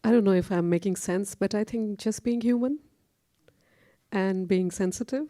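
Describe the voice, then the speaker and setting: A woman's voice: medium pitch, moderate pace, warm tone, slight background noise. A woman on a discussion panel, answering a question in an earnest, reflective way.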